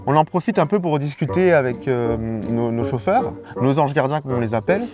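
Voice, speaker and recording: A man's voice: mid-range voice, expressive, a little fast. A young man, a race contestant, speaking in an easygoing, polite manner. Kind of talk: casual chat and interview. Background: music.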